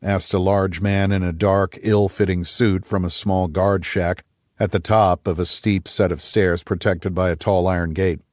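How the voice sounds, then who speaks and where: A man narrates a thriller audiobook. His voice sits fairly low, and the sound is dry, with little room to it. The delivery is scripted storytelling. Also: little pitch movement, unhurried, a little mellow, no echo.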